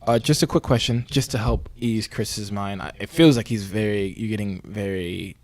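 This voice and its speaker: A man's voice: lowish voice, normal speed, no echo. An actor playing an earnest, rambling male podcast host who hesitates and placates his guests in a mock interview. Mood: placating. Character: earnest, apologetic male investigative podcast host, skeptical of spirits.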